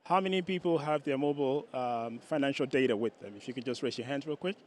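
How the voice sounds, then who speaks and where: Man pitching on an outdoor stage. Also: quick pace, a little hiss or hum. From Ireland. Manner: engaging, asks audience questions. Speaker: startup founder pitching.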